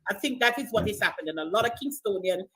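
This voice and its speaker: A woman's voice: medium pitch, animated, quick pace, fairly bright tone. A woman with a Jamaican accent, animatedly telling stories in a casual group chat and mimicking accents.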